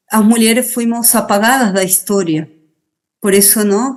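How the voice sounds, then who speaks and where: An Argentine woman with a native Spanish speaker's accent, answering interview questions. Her voice sits at an ordinary middle pitch. She talks in a halting, reflective way, often restarting and adding little tag questions. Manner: hesitant, many fillers and restarts, tag questions. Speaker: interview guest, poet and activist.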